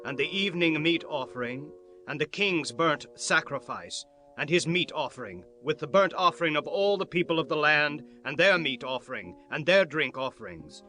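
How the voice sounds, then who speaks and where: A man's voice: on the high side, lively intonation, moderate pace, bright, forceful tone. A man playing a commanding ancient king in a dramatized scripture reading, formal and declamatory. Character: commanding ancient king of Judah issuing orders. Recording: music bed under the voice.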